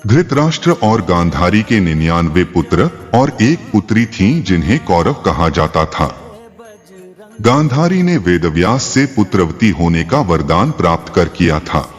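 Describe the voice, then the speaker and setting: A man narrating a scripted religious tale as a storyteller, in a measured, explanatory way. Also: low voice, sing-song. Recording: long reverb, faint music behind. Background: faint background music.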